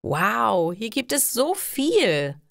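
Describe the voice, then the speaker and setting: Woman playing an easygoing, animated young man. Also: a little high, very slow, vocal fry, no echo, studio quality, voice pushed up, full character voice. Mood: eager. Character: easygoing young male tourist, eager and impressed.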